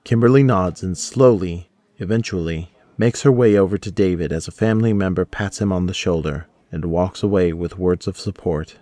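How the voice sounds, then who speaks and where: A man with a very low voice narrates an audio drama. The delivery is measured and solemn, with a dry sound free of room echo. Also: dark tone.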